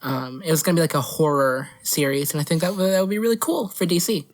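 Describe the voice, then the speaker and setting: A woman's voice: low-pitched, quick pace. A woman co-hosting a podcast, chatting casually about movie news in a playful, teasing way. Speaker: podcast co-host.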